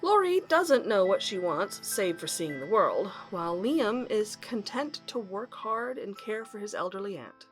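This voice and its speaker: A woman's voice: medium pitch, sing-song. A woman playing a wistful professor, narrating tenderly and intimately to a loved one in an audio drama. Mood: reflective. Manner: measured, intimate, reflective. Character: tender, wistful female professor narrating to her lost love. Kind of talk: dramatic first-person narration. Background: music under the voice.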